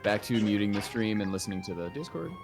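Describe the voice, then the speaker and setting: A man's voice: lowish voice, lively intonation, fast talker, music in the background. A man co-hosting a game livestream, chatting casually close to his mic on a voice call. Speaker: livestream co-host. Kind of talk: casual game stream chat.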